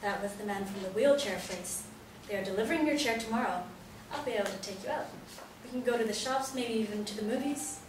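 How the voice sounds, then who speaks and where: A woman's voice: on the high side, fast, natural voice. Woman acting a caring mother, very echoey. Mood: upbeat, encouraging. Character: cheerful, protective mother of an injured adult son. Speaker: actor in a stage play reading. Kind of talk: staged play reading. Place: echoey indoor room, far from mic. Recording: very echoey room, distant mic, faint noise.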